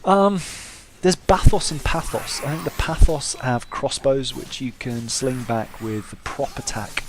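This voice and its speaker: A man's voice: mid-range voice, a little slow, background noise. A man giving casual playthrough commentary with wry, self-deprecating humour. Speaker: gaming video host.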